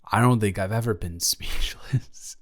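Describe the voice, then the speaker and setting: A man's voice: fairly low pitch, a little slow. A man playing a nervous, lovestruck young college dormmate in an intimate romantic audio drama, earnest and wordy, often stammering. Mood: stunned, tender.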